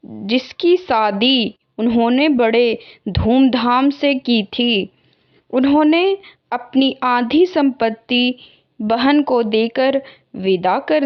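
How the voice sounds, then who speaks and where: A woman's voice: fairly high pitch, extremely slow. A woman reading a scripted bedtime story for children as its narrator. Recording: dull lo-fi narration audio.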